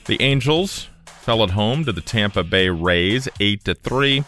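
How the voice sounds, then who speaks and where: A man hosting a local morning radio show, reading scores, time checks and the weather in relaxed station patter. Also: lowish voice, unhurried, soft background music.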